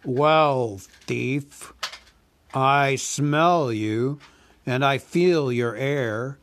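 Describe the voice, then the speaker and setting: A man voicing a huge, cunning male dragon who talks in a slow, sneering, drawn-out way. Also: mid-range voice, a bit bright, slight background noise, pitched above the performer's own voice.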